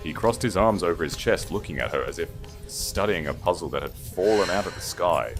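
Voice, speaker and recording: Male narrator, low voice, dramatic scripted storytelling over prominent music. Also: lively intonation, fairly quick.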